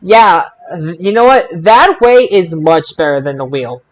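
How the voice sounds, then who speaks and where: High-pitched guy hosting a podcast, really slow drawn out announcing, repeats himself. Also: very animated, poor audio. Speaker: podcast host announcing results. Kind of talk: casual sports prediction results show.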